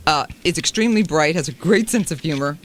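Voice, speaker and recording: A woman's voice: low voice, lively intonation, brisk. A woman guesting in a bantering radio interview, insistent and protesting as she promotes things, with some background noise. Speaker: radio show guest, state official. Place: radio studio.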